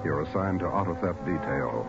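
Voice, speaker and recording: A man's voice: low-pitched, lively intonation, normal speed, gravelly. A man giving a punchy, dramatic scripted introduction in 1940s radio announcing style. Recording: dull old radio recording. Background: loud music.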